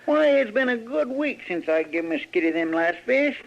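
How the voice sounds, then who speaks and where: An actor playing a good-natured, easily miffed marshal's helper with a folksy rural twang in an old radio western. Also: male voice, high voice, big pitch swings, normal speed, vocal fry, lo-fi sound, full character voice. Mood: miffed, insistent. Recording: noisy old radio recording.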